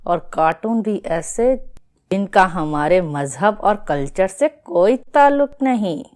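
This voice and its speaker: A woman's voice: mid-range voice, animated, a little mellow, lightly acted. A woman playing a fretful, complaining mother in an acted children's moral story. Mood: exasperated complaining. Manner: complaining, dramatic. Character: exasperated, fretful mother scolding her cartoon-obsessed children.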